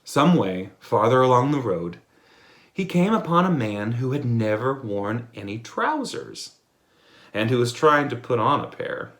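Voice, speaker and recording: A man's voice: medium pitch, big pitch swings, measured pace, a bit bright, reverberant. A man reading fairy tales aloud in a playful storytelling manner. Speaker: storybook reader.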